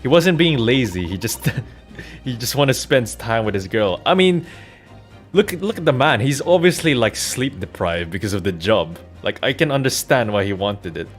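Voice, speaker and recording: A man's voice: mid-range voice, expressive, normal speed. A man streaming a game, giving casual commentary as he plays. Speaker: gaming streamer. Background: game music.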